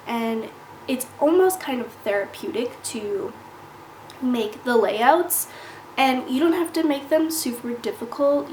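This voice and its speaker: A woman's voice: on the high side, a little mellow. A woman vlogger sitting down to chat to camera, a little nasal from a cold, earnest and explaining things step by step. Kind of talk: casual sit-down talk to camera. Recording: echoey room with faint hiss.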